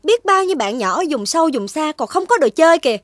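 A woman voicing a caring but firm mother in a children's cartoon, expressive and motherly, with music behind her. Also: sits high, fast. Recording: music under the voice.

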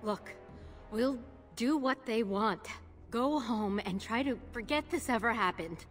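A woman's voice: a little high, unhurried, faint music behind, close to an everyday voice. An actress playing a frightened, bitter young elf woman held captive in a fantasy video game. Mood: distressed.

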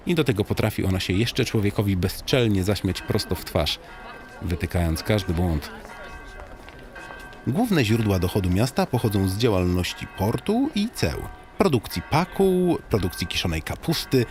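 Male comic audiobook narrator, lowish register, highly varied intonation, mock-solemn guide addressing the listener. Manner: tongue-in-cheek mock-solemn storytelling with animated swings.

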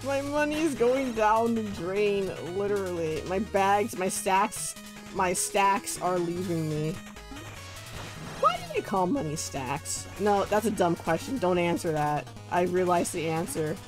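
A man's voice: animated, unhurried. A young man chatting casually while streaming a video game, playful and laughing often. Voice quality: naturally deep for him but light, giggly. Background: faint game music.

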